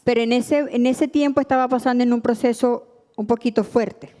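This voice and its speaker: A woman sharing a personal testimony at church, soft-spoken and hesitant. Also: fairly high pitch, steady tone, brisk. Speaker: church member giving testimony.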